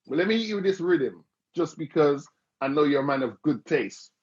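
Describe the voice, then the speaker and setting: Husky-voiced man hosting. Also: fairly high pitch, expressive, brisk, soft background music. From Dominica. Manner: playful, teasing, rambling questions. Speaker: interview host. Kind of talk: casual chat. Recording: dull lo-fi recording.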